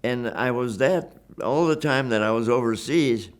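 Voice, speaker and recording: A man's voice: mid-range voice, moderate pace. A man in his nineties, a war veteran, telling his story in a reflective interview, earnest and emphatic, often repeating and restarting his words. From Wisconsin, USA. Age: 90s. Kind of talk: reflective oral-history storytelling.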